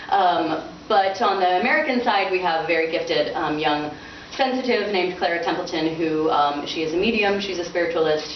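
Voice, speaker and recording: A woman's voice: low-pitched, highs cut off. A woman author speaking at a bookstore reading, in the style of an informal book event. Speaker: author reading from own novel. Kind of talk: informal book talk and dramatic prose reading. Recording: roomy, distant, noisy bandlimited event recording.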